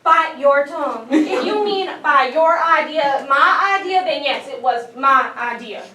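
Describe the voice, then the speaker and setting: A woman's voice: high voice, lively intonation, unhurried, bright, forceful tone, exaggerated voice. A woman playing a sweetly bossy, folksy suburban wife and hostess in a staged reading of a comic play. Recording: echoey room, distant mic, crowd noise.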